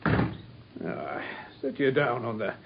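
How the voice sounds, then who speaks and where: An actor playing a gruff, rambling working-class London police constable, in a radio drama. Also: male voice, medium pitch, big pitch swings, slow pace, full character voice. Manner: hesitant, rambling, full of uhs. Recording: dull lo-fi sound with faint hiss.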